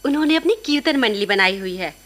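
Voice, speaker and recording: A high-voiced, meek young niece. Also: female voice, animated, quick pace. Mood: meek, earnest. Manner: gentle, obedient, soft-spoken. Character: meek, devout young orphan-like niece doing housework. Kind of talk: Hindi radio play acting.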